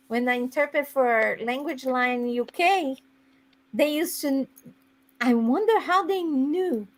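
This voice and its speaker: A woman's voice: on the high side, poor audio. A woman with a Brazilian accent chatting casually and playfully on a video call. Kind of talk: casual chat.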